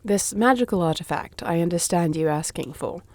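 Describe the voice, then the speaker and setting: A woman's voice: fairly low pitch, lively intonation, normal speed, darkish tone, no room sound. A woman playing a wry, shrewd thief for hire in a fantasy audio drama, with a dry, teasing, understated delivery. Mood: probing. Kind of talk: fantasy audio roleplay acting.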